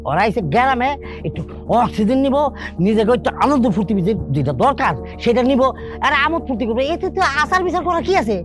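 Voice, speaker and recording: A breezy, protective village uncle with a Bangladeshi accent. His high voice swings about as he argues glibly in a comic family drama, with clear music underneath. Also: fast talker. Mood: defiant, glib. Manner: argumentative, glib, animated.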